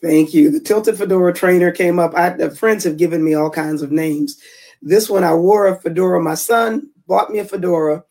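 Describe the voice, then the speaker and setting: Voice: mid-range voice, normal speed, a little mellow. Earnest, emphatic guest speaker on a call. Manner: earnest, emphatic, repeats key phrases for stress. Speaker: public speaker and trainer, podcast guest. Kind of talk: casual interview chat. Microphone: remote call.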